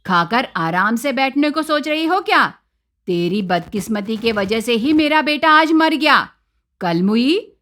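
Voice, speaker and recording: A woman's voice: high-pitched, bright, forceful tone, full character voice. Woman acting a cruel, scolding older mother-in-law. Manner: harsh, sneering, threatening.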